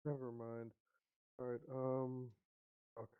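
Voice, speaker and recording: Flat-toned male gamer on a tinny call. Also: mid-range voice, slow, clear, resonant tone. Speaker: tabletop game player. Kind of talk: casual game chat. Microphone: online voice call. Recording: tinny narrow-band voice call.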